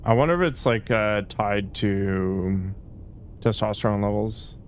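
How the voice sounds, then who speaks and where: A man's voice: lowish voice, measured pace, slight background noise. A man chatting casually as he streams a game, musing aloud with fillers and trailing thoughts. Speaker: let's play streamer. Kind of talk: casual gameplay commentary.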